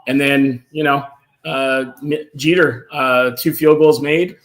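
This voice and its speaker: Hoarse guy hosting a fan stream, fired up, medium pitch, chatty. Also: slow, rough recording. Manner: excited, reads viewer comments aloud. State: hoarse from cheering. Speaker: fan show host. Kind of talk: casual live-stream sports chat.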